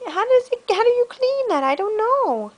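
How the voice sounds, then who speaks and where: A woman chatting playfully with a toddler over a picture book, prompting and encouraging in animated child-directed talk. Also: extremely high pitch, normal speed. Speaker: mother reading a picture book with her child.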